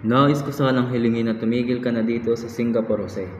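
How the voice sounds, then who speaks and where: Man playing worried friend, mellow echoey voice. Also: medium pitch, fairly even intonation, a little fast, natural voice. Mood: worried, warning. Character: worried, cautioning male friend, Don Pedro. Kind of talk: amateur Tagalog radio drama acting. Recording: muffled, echoey hall, faint noise.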